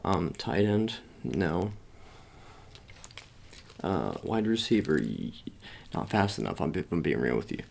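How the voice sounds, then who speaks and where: A man's voice: low voice, very animated, a little slow, creaky, pauses often, darkish tone, a little hiss or hum. A man doing an informal ASMR roleplay as an agent, improvising hesitantly with playful slang in a one-sided personal chat.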